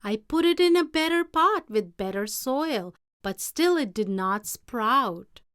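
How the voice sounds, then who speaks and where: A woman's voice: high voice, expressive, slow pace, a bit bright, clear, resonant tone, no room sound, clean studio sound, exaggerated voice. A woman voicing an honest, downcast young boy with an earnest, pleading manner in a storybook. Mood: tearful, earnest.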